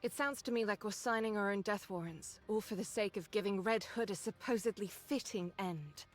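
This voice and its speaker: A woman voicing a loyal, resolute woman fighter in a game, measured, frank and a little dry. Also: medium pitch, soft background music, natural voice. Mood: bitter, frank. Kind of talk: scripted game voice acting.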